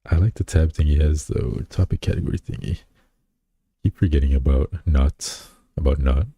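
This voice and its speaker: A man's voice: rumbling low, moderate pace, creaky, warm tone, slightly rough, no room sound. A man streaming as a VTuber who chats casually and reads his chat aloud. He rambles and teases himself, with lots of fillers and restarts, recorded on a close desk mic at home. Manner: rambling, self-deprecating, many fillers and restarts.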